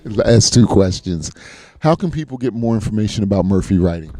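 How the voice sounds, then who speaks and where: A man interviewing a guest at an arts gala, asking friendly, brisk questions with fillers. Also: on the low side, lively intonation, mellow, dry recording. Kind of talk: event interview.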